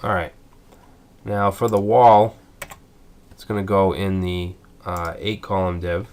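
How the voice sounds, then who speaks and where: A man with a stuffed-up voice from a cold, calmly explaining web code step by step in a screencast tutorial. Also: low voice, slow pace, a little hiss or hum. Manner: methodical, thinking aloud with fillers. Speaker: coding tutorial instructor.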